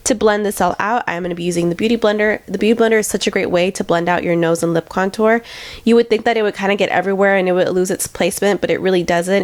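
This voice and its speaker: A woman's voice: on the low side, no room sound. A young woman narrating a makeup tutorial in a chatty, step-by-step way, her voice nasal from congestion. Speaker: beauty vlogger.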